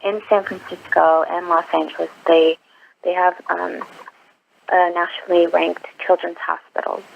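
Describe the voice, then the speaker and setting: A woman in her twenties, from Iraq, speaks earnestly with frequent pauses and ums. Her voice is gravelly and stays flat in pitch. The sound is dull and lo-fi, like a phone line. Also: slight background noise. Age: 20s. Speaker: interview guest, nurse. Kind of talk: phone interview.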